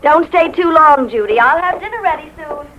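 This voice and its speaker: A woman's voice: high voice, expressive, normal speed, sharp tone. An actress playing a tender, tired young widowed mother, sweet and genteel, in a 1950s radio drama. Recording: noisy 1950s radio broadcast.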